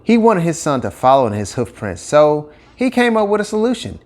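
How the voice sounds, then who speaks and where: A man narrating a scripted children's story with expressive, emphatic phrasing. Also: a little high, fairly quick.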